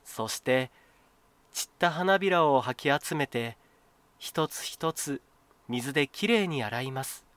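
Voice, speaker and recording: A man reading a children's folktale as a storyteller, in an expressive, clearly phrased scripted style. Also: mid-range voice, unhurried, dry recording.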